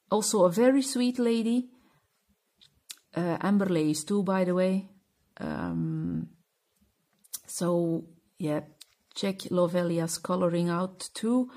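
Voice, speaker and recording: Slow, hesitant Flemish woman. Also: medium pitch, warm tone. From Belgium. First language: Dutch (Flemish). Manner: hesitant, many fillers and restarts, searching for words. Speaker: coloring channel host. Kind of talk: casual chat to camera.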